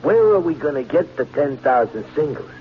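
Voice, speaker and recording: An actor playing a slow-witted, eager small-time American crook in a radio drama, talking in slangy, repetitive bursts. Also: male voice, fairly high pitch, lively intonation, close to an everyday voice. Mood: scheming. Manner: plain slangy speech, hesitant repeats. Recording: muffled, noisy vintage radio with hum.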